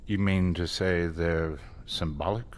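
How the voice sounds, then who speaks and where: A man's voice: low voice, fairly even intonation, unhurried. A man playing a grave, controlling vampire caretaker in a dramatic game cutscene, explaining things with solemn insistence. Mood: persuasive, firm. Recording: faint music behind the voice.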